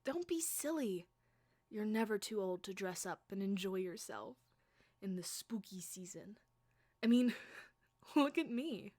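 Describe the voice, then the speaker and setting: Soft-spoken teasing woman, slow and close. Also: mid-range voice, animated, dry recording. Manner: playful, teasing, often whispering close to the mic. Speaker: voice actress performing an audio roleplay. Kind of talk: flirty ASMR roleplay.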